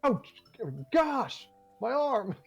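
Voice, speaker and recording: A man voicing an excitable young cartoon character with melodramatic exclamations for a story reading. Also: very high voice, very slow, vocal fry, soft background music, voice pushed up, full character voice. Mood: pained, shocked.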